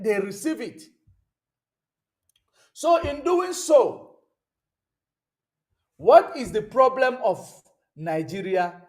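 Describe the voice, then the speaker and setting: A man's voice: high-pitched, darkish tone. A man hosting a political commentary livestream, rambling and answering viewer comments as he goes, often stopping to search for words. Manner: rambling, searches aloud for words with many ums. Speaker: host.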